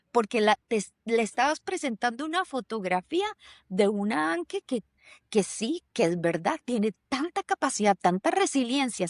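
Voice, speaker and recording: A woman's voice: high-pitched, dry recording, studio quality. A woman hosting a podcast, talking in a reflective way with many fillers and restarts. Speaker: podcast host. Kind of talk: conversational podcast talk. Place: lives in Germany.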